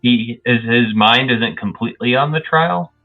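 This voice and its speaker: A man's voice: medium pitch, moderate pace. A young man playing a tabletop game, chatting casually and describing what his character does. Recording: dull boxy audio, music behind.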